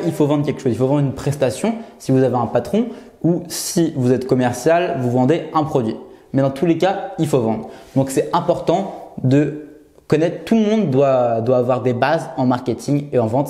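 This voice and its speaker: Young guy vlogging, mellow voice, lots of euhs and restarts, echoey little room. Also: mid-range voice, normal speed. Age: 20s. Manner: hesitant, many fillers and restarts. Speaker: YouTuber. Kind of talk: unscripted vlog talking to camera. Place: small bare room indoors, seated. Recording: roomy echo.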